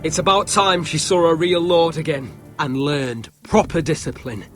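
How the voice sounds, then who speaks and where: A man playing a sneering, high-pitched lordly bully. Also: fairly even intonation, moderate pace, a bit bright, soft background music, close to an everyday voice. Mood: indignant. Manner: sneering, taunting, profane, imperious. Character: arrogant young lordly bully, sneering, petulant, cruel. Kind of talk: dramatic video game acting.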